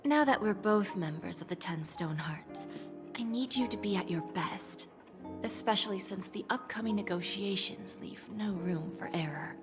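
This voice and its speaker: A woman voice acting a shrewd senior businesswoman in a video game, a calm mentor with a smooth, measured, insinuating manner. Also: mid-range voice, lightly acted. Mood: familiar, poised. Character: poised, shrewd senior businesswoman, silky, calmly calculating mentor. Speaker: game voice actor. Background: music.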